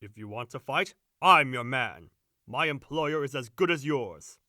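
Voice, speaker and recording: A man performs a brash young servant boasting crudely in an audio play. The voice is bright and swings widely in pitch. It is recorded dry and close. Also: animated, unhurried, no echo. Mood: cocky. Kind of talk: audio drama acting.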